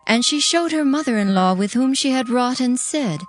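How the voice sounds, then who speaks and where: A woman reading scripture as a narrator in a measured, formal storytelling manner. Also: sits high, lively intonation, soft background music.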